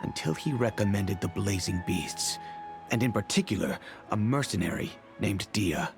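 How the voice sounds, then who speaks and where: An actor playing a fatherly middle-aged merchant who speaks in a measured, reflective, remorseful way, over faint music. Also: fairly low pitch, lively intonation, vocal fry. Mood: thoughtful. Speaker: wealthy patron and father figure. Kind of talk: video game voice acting. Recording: game audio with faint music.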